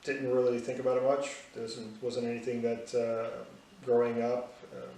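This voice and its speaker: A man in his sixties from the United States, answering interview questions plainly with many pauses. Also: mid-range voice, little pitch movement, warm tone. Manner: plain, hesitant, many fillers. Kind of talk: recalled personal history in an interview. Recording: echoey room, far from the mic.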